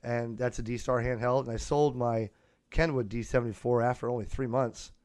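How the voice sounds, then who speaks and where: A man's voice: medium pitch, steady tone, normal speed, darkish tone, no echo. A man hosting an unscripted hobby podcast in a casual, chatty way, speaking into a close podcast microphone. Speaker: podcast host and amateur radio hobbyist. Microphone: close USB podcast microphone.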